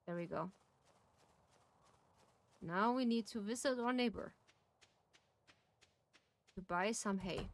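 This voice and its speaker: A woman's voice: mid-range voice, takes it slowly, pauses often. A woman with a German accent, chatting casually as she streams a farming game. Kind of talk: casual gameplay chat.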